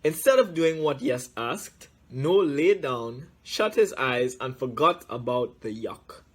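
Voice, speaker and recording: A man reading a children's story aloud in a deliberate, measured way, with frequent pauses. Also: mid-range voice, expressive. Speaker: guest story reader.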